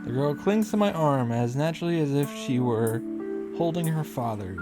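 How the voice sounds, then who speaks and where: A man's voice: mid-range voice, lively intonation, normal speed, loud music behind the voice. A man reading a visual novel aloud and reacting casually, often restarting and repeating himself as he goes.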